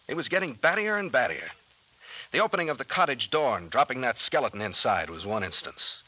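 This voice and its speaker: Animated, self-assured American sleuth captain. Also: male voice, medium pitch, fairly quick, poor audio. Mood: explaining. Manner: explanatory, chuckling, self-assured. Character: confident, genial sea captain sleuth explaining a solved mystery. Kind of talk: old-time radio drama acting.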